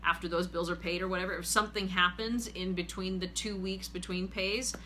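A woman in her forties hosting a casual money-advice talk from her living room couch, earnest and steady. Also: on the low side, brisk, a little hiss or hum. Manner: earnest, steady, reads viewer comments aloud. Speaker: host, finance group leader.

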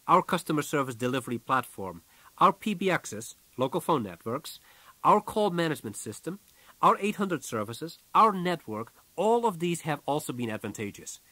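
A man narrating a nonfiction audiobook from a script in a measured, businesslike way. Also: on the high side, very animated, pushed and bright, dry recording. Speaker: author reading own audiobook.

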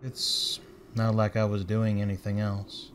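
Man as a weary lowish-voiced policeman. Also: monotone, a little slow, slight background noise, close to an everyday voice. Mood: tentative, kindly. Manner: dry, plainspoken. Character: weary, gruff male police officer, decent. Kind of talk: comic book fan dub dialogue.

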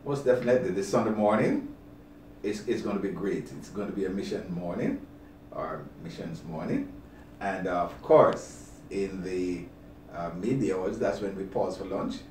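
Older male bishop, halting and deliberate interviewee, distant mic in an echoey room. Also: deep voice, animated, darkish tone. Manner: deliberate, halting, repeats words. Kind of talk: interview, inviting the public to an event. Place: small office, seated at a desk. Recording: roomy, distant mic, clear background noise.

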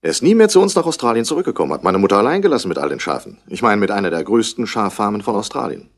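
A man's voice: fairly low pitch, big pitch swings, very fast. An actor playing a brusque, self-important Australian businessman in a 1960s German radio crime drama. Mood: indignant, disapproving. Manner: clipped, self-important, indignant. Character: brusque, busy rich Australian businessman, wool merchant's son.

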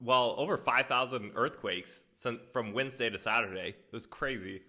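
A man's voice: medium pitch, moderate pace, pushed and bright. A young man hosting a casual travel podcast from home, talking upbeat and eager with a few fillers, into a stand mic. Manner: upbeat, enthusiastic intro with fillers. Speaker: podcast host. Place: living room at home. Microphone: studio mic on stand with headphones.

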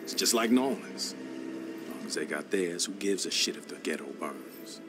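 Street-tough, hot-tempered man with swooping pitch. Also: lively intonation, normal speed, music in the background. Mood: bitter, distrustful. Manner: profane, confrontational, distrustful. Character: angry, cynical, street-tough male survivor. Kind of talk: video game cutscene acting.

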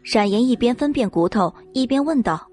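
A woman's voice: fairly high pitch, expressive, moderate pace, a little mellow, faint music behind. A woman narrating a scripted novel as an audiobook reader.

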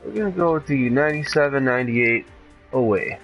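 A man's voice: mid-range voice, brisk, dull audio, faint music behind. A man chatting casually with a friend while hosting a video game stream. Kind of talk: casual chat while gaming.

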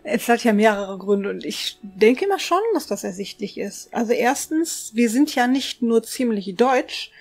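A woman's voice: on the high side, animated, unhurried, few pauses, darkish tone. A woman co-hosting a casual studio chat in a language that is not her first, giving short, dry replies. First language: not German. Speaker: co-host. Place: home studio with shelves.

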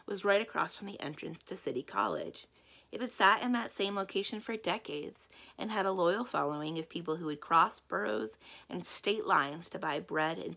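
American woman reading a kids book aloud, lowish voice, bit of fry. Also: a bit bright. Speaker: library read-aloud reader. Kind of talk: children's book narration.

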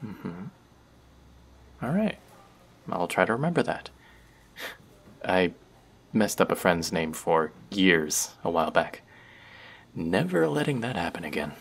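A man's voice: sits low, very animated, unhurried, pauses often, husky, heavily acted. A man playing a chatty young barista who teases and rambles in a friendly way, in a scripted audio roleplay. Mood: rambling. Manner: playful, rambling, teasing.

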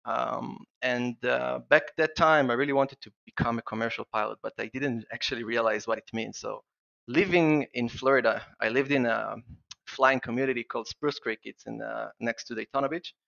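Eager Israeli-accented man, gravelly bright voice. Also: medium pitch, dull audio. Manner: halting, many restarts and fillers, eager. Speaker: guest plugin developer. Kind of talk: casual podcast interview.

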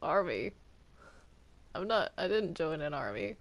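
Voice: mid-range voice, sing-song, measured pace. A player chatting casually through an improvised Minecraft roleplay, hesitant and often repeating words over a gaming microphone. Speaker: player in a group game roleplay. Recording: noisy home game recording with clicks.

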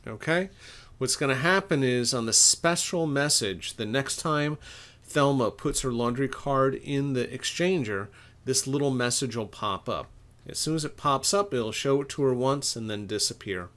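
Man, middle-aged, medium pitch, tutorial presenter, informal, explanatory, step-by-step narration, thinking aloud. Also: moderate pace, fairly bright tone. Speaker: laundry equipment expert, tutorial presenter.

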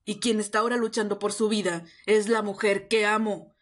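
A woman's voice: medium pitch, flat delivery, fairly quick, natural voice. A woman playing an emotional, confrontational young man protecting his girlfriend in an amateur roleplay drama. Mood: outraged.

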